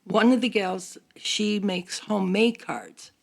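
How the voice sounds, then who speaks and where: Older woman with a creaky, earnest voice. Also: medium pitch, steady tone, slow. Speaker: church seniors' group member. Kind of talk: casual group discussion.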